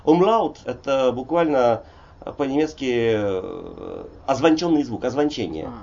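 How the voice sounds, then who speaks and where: A man's voice: medium pitch, animated, unhurried, creaky, a little mellow. Older russian guy in his 60s, poet rambling in an interview, lots of ums, kinda muffled dull recording. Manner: digressive, frequent hesitations and "vot" fillers. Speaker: poet and polyglot guest. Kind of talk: conversational interview, discursive storytelling.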